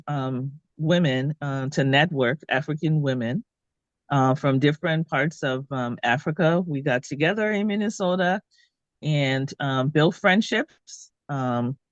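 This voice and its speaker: Slow, thoughtful woman from Liberia. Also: low voice, dry recording, muffled recording. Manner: thoughtful, many "um" pauses. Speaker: guest, author. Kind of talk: conversational interview.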